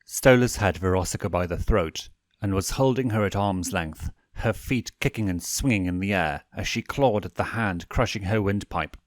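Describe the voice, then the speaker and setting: A man's voice: sits low, moderate pace, no room sound, studio quality. A man narrating fan fiction as a scripted, dramatic audiobook reading.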